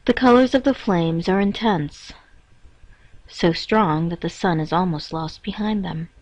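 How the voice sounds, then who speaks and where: A woman reading a scripted guided meditation in a measured, instructing manner with pauses between phrases. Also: fairly low pitch, darkish tone. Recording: dull, roomy echoing lo-fi recording.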